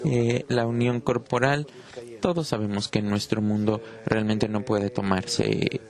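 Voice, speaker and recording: A man, not a native Spanish speaker, giving an unscripted Kabbalah lesson with many pauses and restarts. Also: on the low side, steady tone, a little mellow. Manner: halting, frequent "eh" pauses and restarts. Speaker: Kabbalah teacher. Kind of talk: unscripted lecture.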